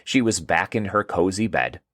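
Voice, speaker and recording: A man's voice: deep voice, expressive, moderate pace, a bit bright, dry recording, clean studio sound. A man narrating a scripted children's story in storybook style.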